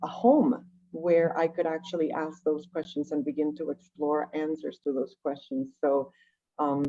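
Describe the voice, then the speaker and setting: A woman from Venezuela with a low, mellow voice speaks reflectively in English, her second language after Spanish. She tells personal stories in a conversational way, posing questions as she goes. The audio is dull and lo-fi. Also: little pitch movement, normal speed. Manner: reflective, with rhetorical questions and tag "right?". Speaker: guest, education nonprofit director.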